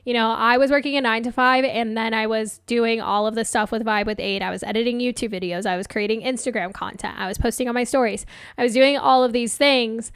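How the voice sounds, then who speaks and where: A young adult woman hosting a solo coaching podcast, chatty and upbeat with lots of fillers, her voice a bit hoarse. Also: a little high, steady tone, a little fast, fairly bright tone, dry recording, clean studio sound. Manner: chatty, upbeat, laughs often, many fillers. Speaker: podcast host and life coach. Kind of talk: casual solo podcast talk.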